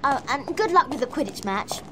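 A woman's voice: sits high, lively intonation, fairly quick, background noise. A woman with an English accent voicing a clever, earnest, bossy schoolgirl witch in a video game. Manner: earnest, explanatory. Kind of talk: video game cutscene acting.

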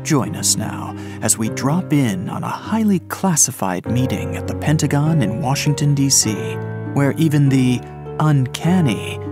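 A man's voice: mid-range voice, animated, unhurried, music in the background. A man reading a scripted, dramatic introduction to an audio play.

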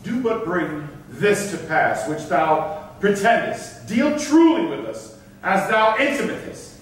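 A man's voice: sits high, big pitch swings, slow, far from the mic, heavily acted. A man acting a dignified governor, speaking formal Elizabethan stage verse in an emphatic, declamatory way. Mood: earnest. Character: dignified governor of Malta, negotiating earnestly. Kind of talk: theatrical stage reading of Elizabethan drama. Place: concert hall stage, far camera. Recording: echoey hall from afar, faint noise.

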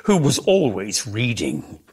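A man giving a measured, expressive dramatic reading of literary prose as a storyteller. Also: lowish voice, slightly rough. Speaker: narrator, reader.

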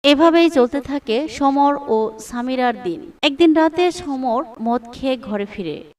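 A woman narrates a scripted story. Her voice is high in pitch. It is recorded slightly away from the mic. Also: expressive, takes it slowly, a little mellow, distant mic.

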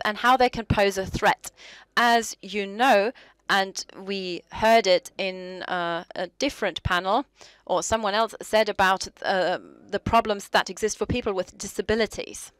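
A woman gives simultaneous interpretation in a measured way with frequent pauses. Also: mid-range voice, fairly even intonation, clear, resonant tone, no room sound, low-quality recording.

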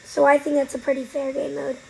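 A woman with a cold giving casual, excitable commentary while playing a game, sometimes dropping to a whisper. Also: high voice, fairly quick, vocal fry, low-quality recording. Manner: chatty, excitable, trailing off into whispers. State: has a cold. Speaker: gaming channel host. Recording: faint background noise.

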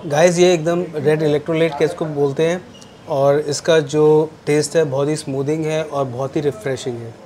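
A man's voice: a little high, fairly even intonation, moderate pace, mellow. A man co-hosting a hotel vlog, upbeat and casual, tasting food for viewers. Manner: upbeat, mixes English phrases. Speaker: travel vlogger co-host. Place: hotel restaurant and balcony.

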